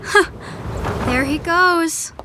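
A woman's voice: extremely high pitch, extremely slow, noisy background, full character voice. A woman playing an earnest, supportive teenage friend in an audio drama. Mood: gentle, awed.

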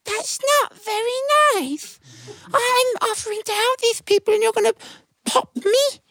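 Tiny storybook ant: very high squeak, modest, earnest, eager to help. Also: male voice, fairly even intonation, unhurried, vocal fry, fairly bright tone, no room sound, exaggerated voice. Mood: hurt. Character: teeny tiny polite, helpful little ant.

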